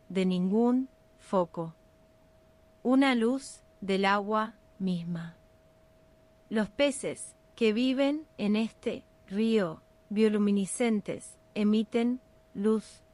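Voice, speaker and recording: A woman with a Rioplatense Spanish accent narrating a scripted bedtime meditation in a soothing, unhurried, measured ASMR style. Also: mid-range voice.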